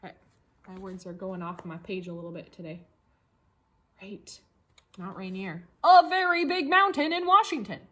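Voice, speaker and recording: A woman's voice: mid-range voice, moderate pace, pauses often. A woman with an American accent teaching young children, explaining simply with repetition and playful, exaggerated questions. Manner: animated, exaggerated surprise and rhetorical questions for children. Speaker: elementary school teacher. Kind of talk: read-along tracing lesson for young children.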